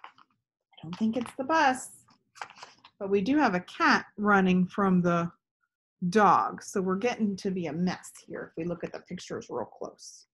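A woman reads aloud to young children in a teacherly way. Her voice is husky and moves up and down a lot at an unhurried pace, often pausing to prompt with simple questions. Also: mid-range voice, expressive, poor audio. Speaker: read-aloud storyteller. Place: small living room indoors.

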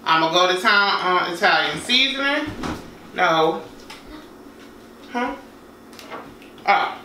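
A woman's voice: fairly low pitch, slow, a little hiss or hum. Chatty woman cooking in a roomy kitchen. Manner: chatty, relaxed, folksy phrases. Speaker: home cooking vlogger. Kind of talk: casual cooking demo. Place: home kitchen, indoors. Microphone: far camera mic. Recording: roomy kitchen, distant mic, clattering dishes.

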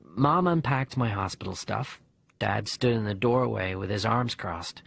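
Man reading as a gravelly-edged, candid young boy diarist. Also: on the low side, a little slow, fairly bright tone, dry recording, soft background music, heavily acted. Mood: fond, observant. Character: bereaved young boy narrating his diary letters. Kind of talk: expressive first-person audiobook reading.

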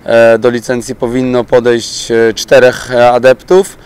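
A man's voice: medium pitch, monotone, measured pace, darkish tone, clear, resonant tone. A man in his thirties from Poland, a youth sports coach giving plain-spoken, reflective interview answers. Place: open-air speedway stadium pit area. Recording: outdoor pit-lane noise behind voice.

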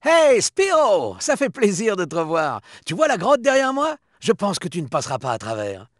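High, lively cocky cheetah sidekick. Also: male voice, normal speed, no echo, studio quality. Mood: cocky, teasing. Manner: breezy, boastful, chummy. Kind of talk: video game character voice acting in French.

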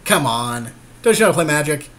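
A man bantering casually as he comments on a game, animated and mock-exasperated. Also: a little high, fast, a little hiss or hum. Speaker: podcast co-host commenting on a card game.

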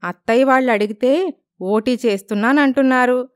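Woman doing anxious young wife, polite n plaintive, high clear cartoon story voice. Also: moderate pace, no echo, studio quality, lightly acted. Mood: worried.

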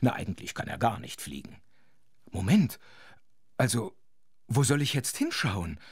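An actor playing a wry, chatty storyteller who narrates a children's audio drama with playful asides. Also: male voice, mid-range voice, huge pitch swings, a little slow, slightly rough, no echo, clean studio sound, exaggerated voice. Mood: wry, animated.

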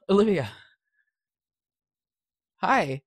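A woman playing a sarcastic, foul-mouthed teenage boy in an audio drama, with a husky, deadpan delivery. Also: mid-range voice, extremely slow, no echo, exaggerated voice. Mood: dry, defensive. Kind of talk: podcast audio drama acting.

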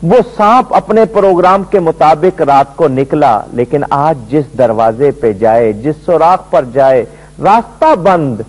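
A middle-aged man and religious scholar giving a devotional lecture on a television studio set, telling a story emphatically with his voice swooping high and low. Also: animated, darkish tone, rough recording.

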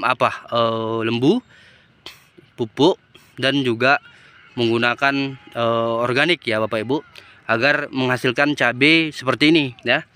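Casting: man, 30s, clear resonant mid-pitched voice, casual farm vlogger with hesitant phrasing. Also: bright, forceful tone, dry recording. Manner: hesitant, repeatedly addressing the audience. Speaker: farming channel host. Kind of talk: casual field vlog addressed to farmers. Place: outdoors in a chili field. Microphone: phone at arm's length.

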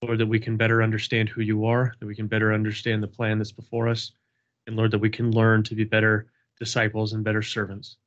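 A man's voice: on the low side, monotone, fast talker. A man leading a Bible study on a video call, praying earnestly and chatting casually, with a slight cold. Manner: earnest, repetitive prayer cadence. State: has a cold, sniffling. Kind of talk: prayer and casual group talk. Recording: dull lo-fi video call audio.

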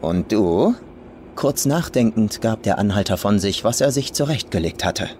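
A man's voice: lowish voice, lively intonation, normal speed, darkish tone, a little hiss or hum. A man reading a scripted horror story as an audiobook narrator. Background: faint atmospheric ambience.

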